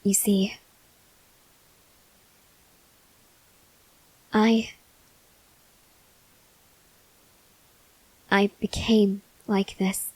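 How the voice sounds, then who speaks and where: A woman playing an earnest, desperate female vampire scientist in an ASMR roleplay, speaking haltingly in a breathy voice that drifts into whispers. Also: mid-range voice, little pitch movement, a little mellow, no echo, poor audio. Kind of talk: ASMR roleplay, slow dramatic monologue to the listener.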